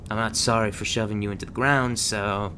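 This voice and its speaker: A man playing a gruff, guarded agent who trains a rookie in a terse, stern manner in an ASMR roleplay. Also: on the low side, fairly even intonation, a little fast, sharp tone, slight background noise. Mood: dry, teasing. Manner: terse, stern instructing, stammers when flustered.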